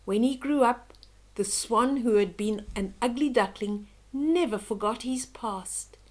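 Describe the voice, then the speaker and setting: An older woman reading a children's bedtime story aloud in a gentle, expressive, dramatic way. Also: a little high. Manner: slow, gentle, dramatic, with hushed whispery moments.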